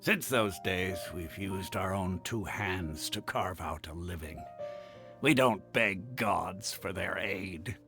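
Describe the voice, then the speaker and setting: An actor playing a frank, hearty older retired mercenary advisor in a video game, plain-spoken. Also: male voice, sits low, slow, gravelly, over music, heavily acted. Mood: frank, explanatory.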